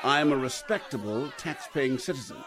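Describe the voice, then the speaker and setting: An actor playing a stuffy, entitled club-goer in a radio crime drama, speaking in a pompous, formal, insistent way. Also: male voice, medium pitch, lively intonation, moderate pace, fairly bright tone, natural voice. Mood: indignant. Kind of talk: old-time radio crime drama acting. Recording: noisy crowd ambience behind the voice.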